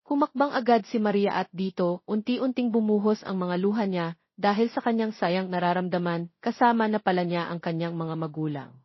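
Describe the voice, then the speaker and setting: A woman's voice: mid-range voice, normal speed, dry recording, dull audio. A woman with a Filipino accent reading a scripted romance story as an audiobook narrator.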